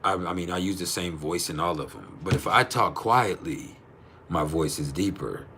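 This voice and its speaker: A man hosts a casual live chat in a naturally deep, low-pitched voice. He talks in a blunt, slangy way and swears freely. Music plays behind him as he speaks to his viewers. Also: animated, normal speed, background noise. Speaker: livestream host.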